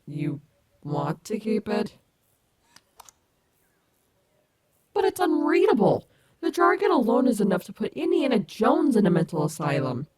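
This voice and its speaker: A woman's voice: medium pitch, big pitch swings, moderate pace, a little mellow, natural voice. A woman playing a cocky but caring animatronic wolf rock star in an ASMR audio roleplay, teasing and affectionate. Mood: baffled, dismissive.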